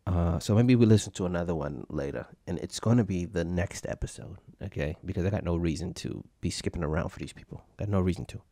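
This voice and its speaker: A man's voice: sits low, fast talker, hardly any pauses, warm tone, no room sound. An American man giving casual, comedic commentary in a blunt, mocking way, repeating himself as he riffs. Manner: mocking, blunt, repetitive riffing. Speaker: podcast host reviewing other podcasts.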